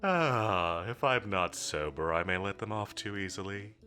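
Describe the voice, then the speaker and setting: Man playing a slow-drawling, suave villain commander. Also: fairly low pitch, lively intonation, pushed and bright, faint music behind. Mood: playful, flirtatious. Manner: drawling, flirtatious teasing with commanding edge. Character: confident, teasing male villain commander, suave and bossy. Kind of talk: scripted roleplay audio drama addressed to the listener.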